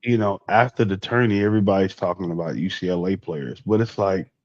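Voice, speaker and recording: A man co-hosting a podcast, chatting casually about basketball, animated and full of fillers. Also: low-pitched, brisk, dry recording. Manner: animated, incredulous, many fillers. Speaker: podcast co-host. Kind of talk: casual sports chat. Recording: dull lo-fi dry sound.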